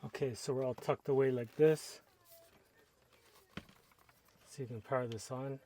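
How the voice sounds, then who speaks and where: Relaxed man walking through a setup. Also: mid-range voice, unhurried, slight background noise. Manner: relaxed, step-by-step explaining while working with hands. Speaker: product reviewer. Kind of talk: casual how-to narration.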